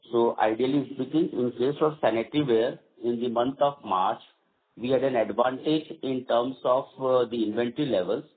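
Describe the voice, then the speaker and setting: A man with an Indian accent answering as a company executive on an earnings call, halting and repeating phrases as he goes. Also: medium pitch. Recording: dull lo-fi conference call audio.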